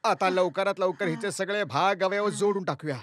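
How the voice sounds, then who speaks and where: A man's voice: sits high, normal speed, fairly bright tone, no echo, clean studio sound. A man voicing a thin, anxious cartoon character, the sensible friend, in an urgent, scolding way for a children's show. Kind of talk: Marathi-dubbed children's cartoon acting.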